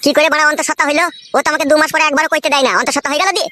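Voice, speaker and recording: A man's voice: very high voice, little pitch movement, rapid-fire, pushed and bright, no room sound. A man voicing an aggrieved, foul-mouthed young son in a comedy cartoon, whiny and defiant. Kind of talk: Bengali comedy cartoon dub.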